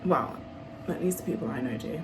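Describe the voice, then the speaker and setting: A woman's voice: low voice, expressive, brisk. A young woman with a Birmingham accent and a slightly nasal voice, chatting casually to camera as a vlogger getting ready. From Birmingham, England. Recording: clearly noisy room background.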